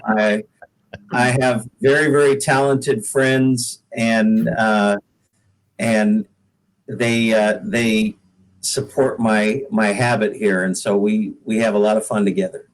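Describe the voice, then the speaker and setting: A man's voice: fairly low pitch, steady tone, low-quality recording. A man talking casually as a singer-songwriter guest in an interview, often repeating words as he gathers his thoughts. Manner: halting, repeats words while thinking.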